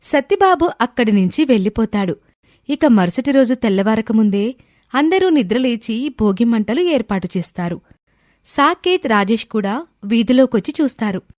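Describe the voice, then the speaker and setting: A woman narrating a scripted moral story in a clear storytelling delivery. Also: a little high, lively intonation, moderate pace, darkish tone, dry recording, clean studio sound. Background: background music.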